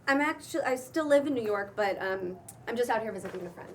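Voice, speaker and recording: Woman playing awkward hesitant gal, high voice, stammery and slangy, little distant from the mic. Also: lively intonation. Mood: hesitant, polite. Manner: halting, stammering, casual and slangy. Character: awkward, hesitant woman from New York, dryly skeptical. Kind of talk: cold table read of a comedy pilot. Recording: distant room mic, faint background noise.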